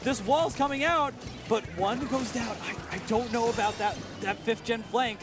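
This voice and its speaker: A man casting a live esports match at a big venue, excitable and fast with his calls, his voice worn and hoarse from shouting. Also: very high-pitched, music in the background. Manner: excitable, rapid play-by-play with exclamations. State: losing his voice. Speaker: esports commentator. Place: large tournament venue.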